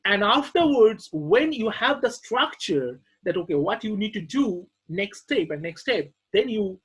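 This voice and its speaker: A man's voice: sits high, big pitch swings, a little slow, fairly bright tone, husky. A man who is not a native English speaker, giving an informal academic talk with many fillers and restarts.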